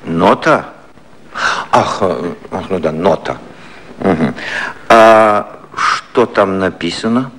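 A man's voice: sits low, animated, takes it slowly, pauses often, fairly bright tone, heavily acted. An older man acting an aggrieved, blustering elderly British prime minister in a theatrical 1950s satirical radio play. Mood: uneasy. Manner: theatrical, grumbling, hesitating.

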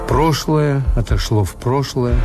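Актёр играет усталого ироничного хозяина гостиницы, который сдержанно и сухо ведёт рассказ в советской радиопостановке. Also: male voice, mid-range voice, unhurried, over music, close to an everyday voice. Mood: measured, wry. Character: weary, ironic male hotel owner recounting events. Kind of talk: Soviet radio drama narration and dialogue.

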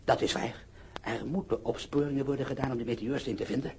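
An actor playing a vain, irascible older observatory director in a Dutch radio play, grandiose and declamatory, with a husky voice. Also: male voice, medium pitch, steady tone, quick pace, a little mellow. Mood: self-important. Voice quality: husky, breathy. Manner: grandiose, declamatory, abrupt outbursts.

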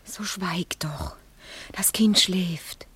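A woman playing an anxious mother of a sick child in a radio drama, speaking in a hushed, breathy whisper. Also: fairly low pitch, drawn-out words, heavily acted.

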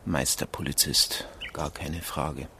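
A man's voice: extremely low pitch, steady tone, slow, pushed and bright, a little hiss or hum, exaggerated voice. A man playing a laconic, weary small-town policeman in a naturalistic radio drama, speaking with a Bavarian accent. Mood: laconic, dry. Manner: laconic, dry.

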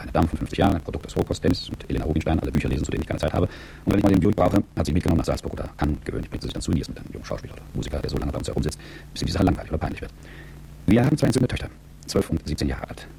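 Extremely low, creaky man's voice, that of a wealthy, middle-aged manager in a radio play. He unrolls his monologue very quickly, dry, sardonic and world-weary, in a dark tone. A faint hum sits behind him. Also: poor audio. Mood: detached, sardonic. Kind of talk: German radio drama monologue.

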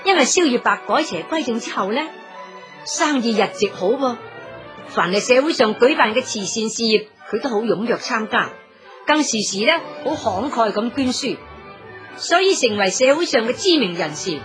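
A woman narrates a Cantonese radio story. Her voice is fairly high and swings widely in pitch. She is colloquial and dramatic in the telling, with soft music behind her. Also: expressive, moderate pace. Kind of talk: lively scripted story narration.

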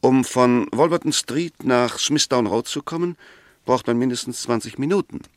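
A man's voice: mid-range voice, normal speed, no room sound, lightly acted. A man playing a precise, argumentative defence lawyer in a formal 1960s German radio drama. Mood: methodical. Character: insistent, argumentative male defence lawyer questioning a witness.

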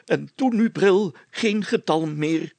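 Man acting a pompous, high-pitched fussy mayor. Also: slow pace, gravelly, a little mellow, no echo, exaggerated voice. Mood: eager, bossy. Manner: sputtering, self-important, tripping over words. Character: pompous sneezing male mayor, fussy and flustered. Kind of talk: storybook character acting.

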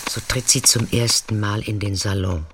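An actress playing a detached narrator in a literary radio play, measured and incantatory with repeated phrases. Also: female voice, extremely low pitch, fairly even intonation, noisy background. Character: detached narrator telling a girl's biography in terse refrains.

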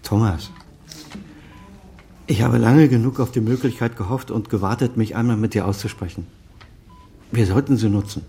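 A man's voice: lowish voice, normal speed, pauses often, mellow, slight background noise, close to an everyday voice. Proud, defensive older doctor played by a man. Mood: defensive, earnest. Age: middle-aged or older, over fifty. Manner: formal, articulate, self-justifying. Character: proud, defensive senior doctor and estranged father. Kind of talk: German radio drama dialogue.

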